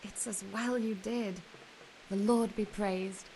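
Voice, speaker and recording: An actress playing a young, pious noblewoman in a medieval video game, speaking with measured sympathy. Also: medium pitch, fairly even intonation, background noise. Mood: compassionate. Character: gentle, pious medieval noblewoman married to an older lord. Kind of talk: dramatic game cutscene acting.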